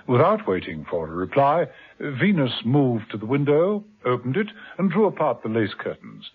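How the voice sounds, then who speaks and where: A man narrating a radio drama in a measured, vivid storytelling manner. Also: medium pitch, sing-song, husky. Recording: tinny old radio broadcast.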